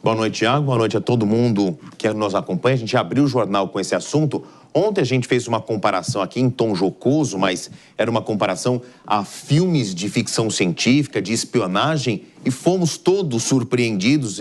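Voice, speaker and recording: A man's voice: medium pitch, lively intonation, normal speed, hardly any pauses. A man with a Brazilian accent giving live news analysis in a studio, explaining things step by step.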